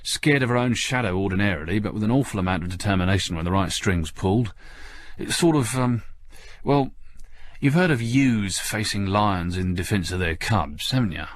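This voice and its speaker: A man playing a respectful navigating officer in a sci-fi story reading, halting and colloquial as he addresses his superior. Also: lowish voice, lightly acted. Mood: hesitant, chatty. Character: deferential, hesitant male spaceship navigating officer.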